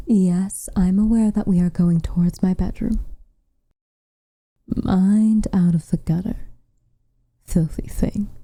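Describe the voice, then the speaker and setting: A woman playing a tender, protective witch with a dry teasing streak in an intimate ASMR roleplay, speaking softly and breathily, close to the mic. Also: lowish voice, fairly even intonation, no echo. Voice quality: soft, breathy, near-whispered. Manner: gentle, measured, quietly teasing. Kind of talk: intimate ASMR roleplay, scripted character acting. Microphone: close studio mic, dry.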